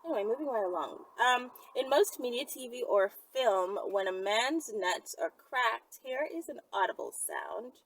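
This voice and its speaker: A woman's voice: fairly high pitch, animated, normal speed, few pauses. A woman chatting casually and candidly to viewers as a vlogger, in a rambling, playful, slangy way. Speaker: vlogger answering viewer questions. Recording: noisy, distant mic.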